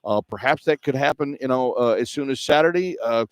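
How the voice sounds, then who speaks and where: A man's voice: medium pitch, a little fast, clear, resonant tone, no echo. A middle-aged or older man hosting radio sports coverage, giving postgame commentary. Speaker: play-by-play radio host. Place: indoor arena broadcast position.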